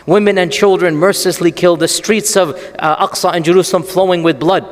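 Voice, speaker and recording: A man giving an animated, storytelling religious lecture and often asking the audience rhetorical questions. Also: high-pitched, fast talker, in a large hall. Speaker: Islamic lecturer.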